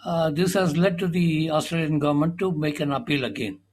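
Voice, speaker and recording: Explaining Indian man, fairly high voice. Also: fairly even intonation, fairly quick. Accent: Indian English. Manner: explanatory, frequent uh fillers. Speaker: senior sports journalist, guest. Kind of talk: conversational interview talk.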